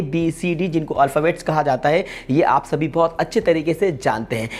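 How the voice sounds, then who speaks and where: A man teaching a beginner English grammar lesson for an online channel, talking earnestly to viewers and repeating each point, and slowing down when he reads English definitions. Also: sits high, a little mellow. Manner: earnest and repetitive, restates points and keeps calling out to viewers.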